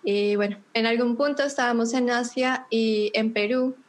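A woman with a Bogotá Colombian accent speaks as a podcast guest, her voice sitting a little high. She tells her story in an easy, conversational way, stopping often on fillers as she gathers her thoughts. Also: moderate pace. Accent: Bogotá Colombian Spanish. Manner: relaxed storytelling with many hesitations and fillers. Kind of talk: casual interview chat.

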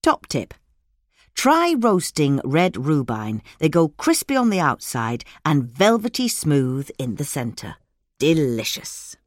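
A woman's voice: low voice, big pitch swings, slow, dry recording, clean studio sound. A woman reading a scripted article aloud as podcast narration. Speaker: reader of a gardening magazine article.

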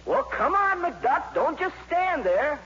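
A brash, exasperated teenage boy with a very high voice that swings about. He talks in whiny slang like a vintage radio comedy-drama. The broadcast sounds muffled and noisy. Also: very animated, slow, bright, forceful tone, exaggerated voice. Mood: impatient. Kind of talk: 1950s radio drama acting.

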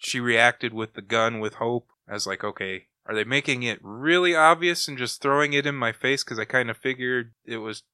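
A man's voice: mid-range voice, normal speed, hardly any pauses, bright, forceful tone, smooth, dry recording, studio quality. A man chatting casually as a podcast co-host. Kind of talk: casual chat.

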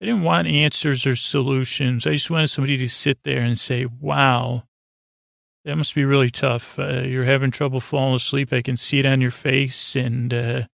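A man speaks in a creaky, fry-heavy voice at a middling pitch, recorded very dry. He hosts a bedtime show in soothing, drawn-out tones. His delivery halts, circles back and drifts into deliberately dull, goofy tangents. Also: flat delivery, a bit bright, no echo. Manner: hesitant, halting, circling back and trailing off. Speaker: podcast host. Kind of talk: rambling, meandering bedtime monologue to help listeners sleep.

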